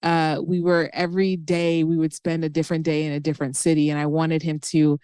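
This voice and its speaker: Podcast guest: woman, thirties, from Nigeria, low smooth voice, casual storyteller, dry studio-like sound. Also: little pitch movement, brisk, mellow, no room sound. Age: 30s.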